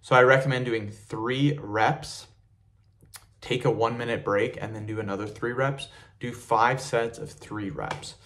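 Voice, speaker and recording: A man's voice: medium pitch, fairly even intonation, unhurried, a bit bright. A young man giving a casual home vlog with a loose, chatty manner, full of ums and repeated words, filmed by the camera in front of him in a small home gym. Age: young adult. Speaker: fitness vlogger. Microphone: camera mic in front of him, head and shoulders in frame.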